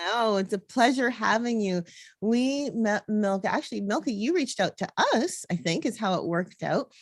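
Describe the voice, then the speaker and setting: A woman's voice: medium pitch, animated, normal speed, dry recording, clean studio sound. A woman hosting an online interview, speaking in an upbeat, enthusiastic way. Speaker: host.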